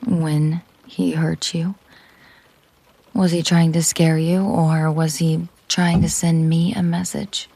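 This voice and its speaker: Slow, husky woman acting a mafia boss girlfriend. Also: low-pitched, level tone, full character voice. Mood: quietly menacing. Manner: slow, deliberate, hushed, often breathy near-whisper. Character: possessive, menacing mafia boss girlfriend, controlled and protective. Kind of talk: intimate audio roleplay monologue.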